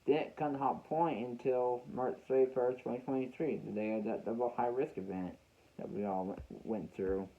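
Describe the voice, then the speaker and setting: A man's voice: mid-range voice, steady tone. A young American man telling a story casually to his viewers, unscripted, often repeating and rephrasing his words as he goes. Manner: halting, repeats and rephrases phrases. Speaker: weather radio hobbyist vlogger. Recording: dull, muffled lo-fi home recording.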